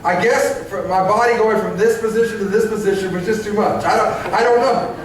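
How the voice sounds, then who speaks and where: A man in his forties preaching a sermon as pastor, halting and full of restarts, often asking the congregation questions. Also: high voice, fairly even intonation. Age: 40s. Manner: halting, many restarts and stammered repeats; conversational, asks the congregation questions. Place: church sanctuary. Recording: very echoey church, voice from far off.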